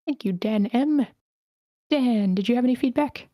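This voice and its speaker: Mellow, higher-pitched woman moderating. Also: moderate pace, dry recording, clean studio sound. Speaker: host moderating feedback. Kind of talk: brief moderating prompts.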